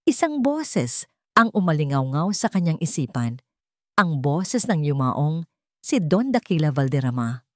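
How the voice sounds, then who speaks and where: Female narrator, low register, dry close recording, measured dramatic read. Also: no echo. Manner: slow, dramatic, even reading. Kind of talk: scripted storytelling narration.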